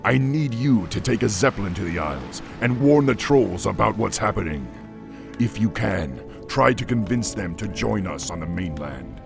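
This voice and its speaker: A man voicing a calm, measured male orc war chief giving orders in a video game cutscene. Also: fairly low pitch, creaky, over music. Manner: measured, commanding and reassuring.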